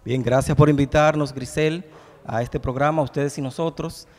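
Dominican male organizer, 40s, lively projecting delivery, slightly high voice, long studio reverb. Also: moderate pace. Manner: energetic, projecting, listing details. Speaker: event organizer guest. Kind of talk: formal guest interview. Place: TV studio set. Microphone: handheld mic.

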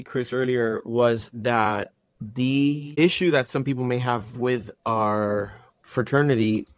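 A man's voice: mid-range voice, fairly bright tone, no room sound. A man from Puerto Rico with a Puerto Rican accent, chatting casually as a podcast guest.

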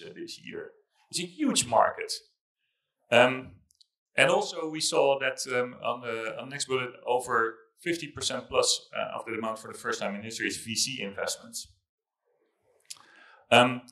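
A middle-aged man giving a keynote speech to an audience through a handheld microphone, with a halting delivery and repeated words. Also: fairly low pitch, sharp tone. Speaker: conference keynote speaker, investment fund chief executive. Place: large indoor conference hall stage.

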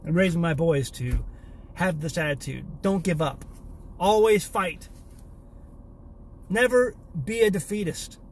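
A man's voice: high voice, measured pace, long pauses, slight background noise. A man in his thirties hosting a homesteading channel, talking to a phone held at arm's length in a parked car, emphatic and repeating words for emphasis. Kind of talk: casual selfie vlog rant. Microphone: phone or camera at arm's length.

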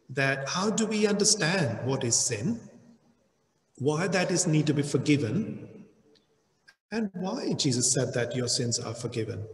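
Slow-preaching man in an echoey church hall. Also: a little high. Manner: slow, deliberate preaching with emphatic rises. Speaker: pastor. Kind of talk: sermon.

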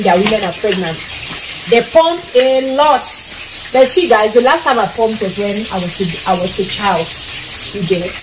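A woman's voice: on the high side, recorded from a distance, background noise. A woman in her thirties with a Cameroonian accent, chatting casually to camera while she cooks in a small tiled kitchen. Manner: animated, lots of hand gestures and acted-out quotes. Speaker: vlogger. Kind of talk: casual chat while cooking. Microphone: camera a few feet away.

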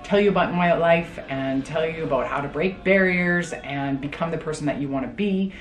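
A woman's voice: low-pitched, normal speed, echoey room, rough recording. A woman in her late thirties from Calgary, a travel nurse chatting casually to camera in a bedroom, upbeat with many fillers. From Calgary, Canada. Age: late 30s. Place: bedroom, indoors.

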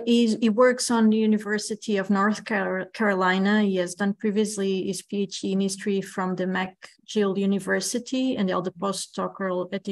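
Female session chair on a video call, mid-pitched, halting. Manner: halting, with fillers. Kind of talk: introducing a speaker. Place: indoors at home.

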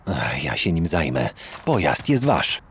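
A man voicing a big, calm, cold cartoon tomcat gangster with a menacing manner. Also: rumbling low, exaggerated voice. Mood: cold menace. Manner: unhurried, menacing. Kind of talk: animated film dub. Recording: very loud gunfire and effects noise.

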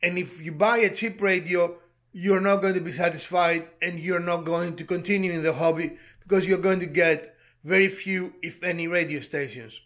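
A man in his fifties giving an explanatory hobby tutorial to camera, speaking in measured, halting phrases and repeating words. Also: high voice, fairly even intonation, a bit bright. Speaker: presenter of a DIY hobby channel.

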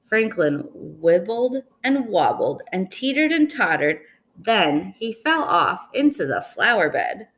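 Young woman reading a storybook, unhurried. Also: medium pitch, big pitch swings, a bit bright. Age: young adult. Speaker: reader of a children's picture book. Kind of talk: storybook read-aloud. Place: indoors in a small room at home.

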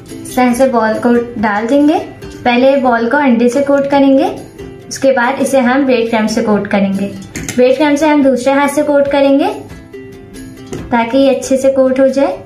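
A woman hosting a cooking channel, calmly talking viewers through a recipe step by step, with English cooking terms mixed into her speech. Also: high-pitched. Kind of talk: recipe tutorial voice-over. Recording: echoey room with soft background music.

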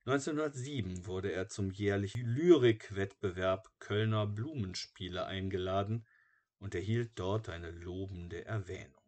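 Man, audiobook narrator, fairly low pitch, scripted biographical reading, matter-of-fact. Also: a little slow, a little mellow.

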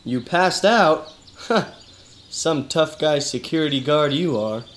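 Guy doing a fed-up noir detective for radio theatre, skeptical and slangy, voice a bit on the high side. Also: slow, a bit bright, a little hiss or hum. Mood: softening, puzzled. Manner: weary, skeptical, colloquial. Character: exasperated, hardboiled male detective questioning his cousin. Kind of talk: noir-parody radio drama acting.

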